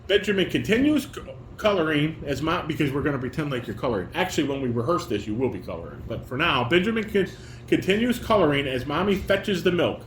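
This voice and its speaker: A man's voice: fairly high pitch, sing-song, fast talker. A middle-aged man casually coaching a read-through, reading stage directions aloud and correcting step by step in a roomy recording. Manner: instructive, demonstrates and corrects step by step. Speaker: father directing a family table read. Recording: roomy, echoey home recording with background noise.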